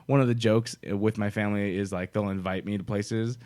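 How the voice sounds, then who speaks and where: A man's voice: lowish voice, fairly even intonation, a little fast, darkish tone. A young man co-hosting a podcast, chatting casually and telling stories with frequent laughs. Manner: chatty storyteller, laughs often. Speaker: podcast co-host. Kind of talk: casual chat.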